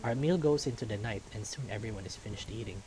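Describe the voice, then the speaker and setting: A man's voice: on the low side, fast talker, over music, low-quality recording. A man reading a visual novel aloud as storytelling narration, now and then stumbling over a word.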